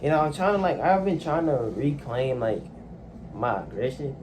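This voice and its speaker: A young man speaks into a phone in a quiet room at home, his voice neither high nor low. He talks haltingly, trailing off and filling gaps with ums. A faint hiss sits under the recording. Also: big pitch swings. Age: young adult. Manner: soft-spoken, hesitant, many fillers. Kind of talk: casual personal monologue.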